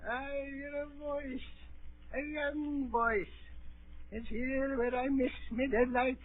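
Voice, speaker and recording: High-voiced, sinister blind old beggar pirate. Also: male voice, fairly even intonation, no echo. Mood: wheedling. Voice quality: soft-spoken. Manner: slow, wheedling, rustic seafaring speech. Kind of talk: 1930s radio drama acting. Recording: tinny, band-limited 1930s radio recording.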